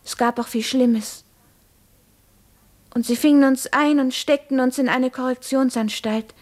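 A woman looking back wistfully on a hard childhood. Her voice is high and she speaks very slowly, reflecting on each phrase as in a radio play monologue. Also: fairly even intonation, darkish tone. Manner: slow, reflective, deliberate phrasing. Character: grown woman wistfully recalling a hard childhood and lost happiness.

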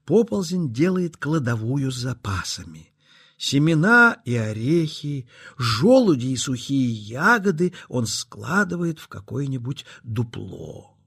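Slow, expressive male storyteller. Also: mid-range voice, creaky, breathy edge. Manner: expressive, deliberate phrasing. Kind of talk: scripted children's story narration.

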